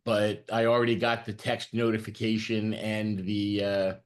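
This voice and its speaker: A man's voice: fairly low pitch, completely flat delivery, moderate pace. A man speaking as a caller in a plain phone conversation, polite and brief in his replies. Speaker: caller testing a booking line.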